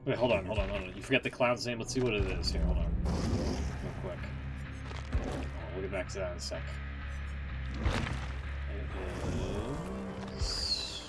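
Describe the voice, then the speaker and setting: A man's voice: fairly low pitch, lively intonation, slow, long pauses, over loud music. A man giving casual live stream commentary as he reacts, informal and rambling with some mild swearing. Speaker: game streamer reacting to cutscenes.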